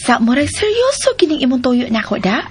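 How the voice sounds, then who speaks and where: A woman's voice: high voice, big pitch swings, brisk, breathy edge, exaggerated voice. A woman playing a scheming, gloating female villain in a Filipino radio soap, speaking theatrically. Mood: curious, sly. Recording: loud dramatic music bed under radio drama.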